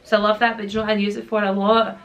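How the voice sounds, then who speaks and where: A woman in her thirties with a Glaswegian Scottish accent talks through a casual question-and-answer chat at home. Her voice sits at an ordinary middle pitch, a little rough from a sore throat. She rambles happily and slips into slang. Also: completely flat delivery, racing, a bit bright, muffled recording, a little hiss or hum. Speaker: YouTuber and mum. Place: at home with children nearby.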